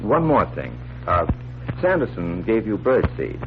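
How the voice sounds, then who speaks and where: An actor playing a curious, genial companion in a 1940s radio drama, asking questions in a clearly declaimed manner. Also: male voice, low voice, wildly swooping pitch, measured pace, over music, heavily acted. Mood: inquisitive. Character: curious, genial male companion prompting a magician detective. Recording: very muffled, noisy 1940s radio recording.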